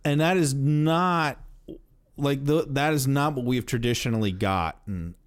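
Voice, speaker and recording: Male podcast co-host, medium pitch, casual and opinionated, emphatic with repeated phrases. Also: measured pace, smooth.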